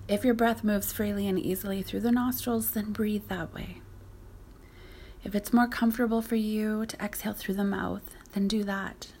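A woman leading a guided yoga nidra meditation as the teacher, speaking softly and breathily, often close to a whisper, in a soothing, unhurried, gently instructive way. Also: medium pitch, vocal fry, a little hiss or hum.

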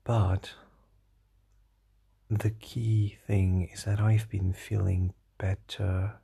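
A tired young man with a slightly hoarse voice, rambling hesitantly through a casual diary vlog with many fillers and restarts. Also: on the low side, little pitch movement, drawn-out words, mellow. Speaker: vlogger.

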